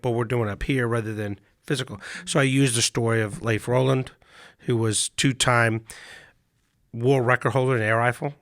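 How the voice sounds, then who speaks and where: A male coach with a hoarse voice from a cold, telling stories in a relaxed chat with frequent restarts. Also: medium pitch, no room sound, clean studio sound. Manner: relaxed storytelling with many restarts. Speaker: mental management coach. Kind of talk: casual podcast chat.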